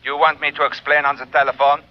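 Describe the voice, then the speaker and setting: An actor playing a cold, controlled criminal boss, curt and deliberate, in a vintage radio drama. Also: male voice, on the high side, level tone, natural voice. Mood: cold, commanding. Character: cold, controlled male criminal mastermind giving orders. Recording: dull old radio drama recording.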